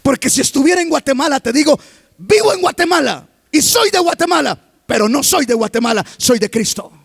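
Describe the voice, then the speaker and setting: A man preaching a sermon as pastor, reading scripture aloud and constantly asking the congregation questions, rising to emphatic shouts. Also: very high voice, lively intonation, fairly quick, gravelly, no room sound. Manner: reads scripture aloud between remarks.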